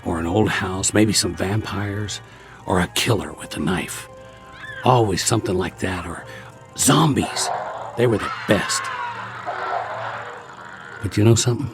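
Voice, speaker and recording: An actor playing a world-weary, wary soldier who survived a war, talking in a colloquial, rambling way in a radio drama. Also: male voice, deep voice, unhurried, pauses often, music in the background. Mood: wistful, chatty.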